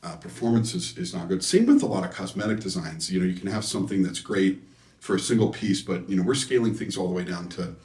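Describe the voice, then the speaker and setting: A man with a low voice talks through technical design in a casual interview. He explains haltingly, restarting phrases as he goes. The sound is roomy, picked up at some distance from the microphone. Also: expressive, a little mellow. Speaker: loudspeaker designer being interviewed. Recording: echoey room, distant microphone.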